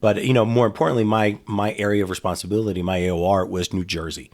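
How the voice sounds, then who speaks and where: A man's voice: fairly low pitch, level tone, fast, a little mellow. A middle-aged man talking casually in an interview, rambling with frequent restarts and fillers. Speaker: guest, former FBI agent.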